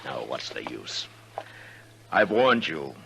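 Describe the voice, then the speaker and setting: An actor playing a laconic American frontier marshal in a 1950s radio western, with a measured, understated but firm manner. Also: male voice, very deep voice, sing-song, vocal fry, fairly bright tone, a little hiss or hum, full character voice, low-quality recording. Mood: stern warning. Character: stern, laconic frontier town marshal, grown man. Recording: old radio recording, music behind.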